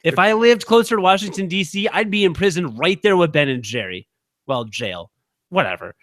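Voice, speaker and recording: Excitable jokey man, slightly high voice. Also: a little fast, no echo. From Illinois. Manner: excitable, jokey, rambling rants. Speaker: podcast co-host. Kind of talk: casual chat.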